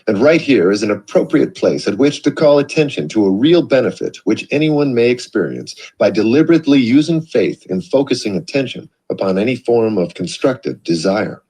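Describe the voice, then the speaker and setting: Reader: adult man, husky tone, lively pitch, steady read-along narration. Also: low-quality recording. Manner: measured, expressive reading. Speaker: audiobook reader.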